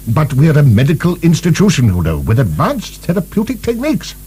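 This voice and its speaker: An actor playing an urbane, wry asylum director speaking conversationally in a radio drama. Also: male voice, a little high, wildly swooping pitch, moderate pace, mellow. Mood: genial, smooth. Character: urbane, genial, musing male asylum director. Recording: 1990 radio broadcast, faint hum.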